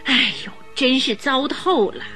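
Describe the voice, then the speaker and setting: A woman's voice: high voice, animated, a little slow, sharp tone, faint music behind, exaggerated voice. A woman playing an anxious, talkative housekeeper in a radio drama, fretting and sighing as she speaks. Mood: worried, hesitant. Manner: fretful, sighing, exclaiming.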